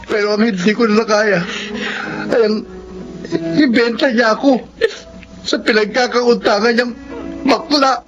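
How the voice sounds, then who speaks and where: An actor playing a timid, soft-hearted young son turned thief in a Filipino radio drama, hesitant and pleading. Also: male voice, very high voice, steady tone, measured pace, pauses often, faint music behind. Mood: tearful, hurt. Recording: muffled lo-fi recording.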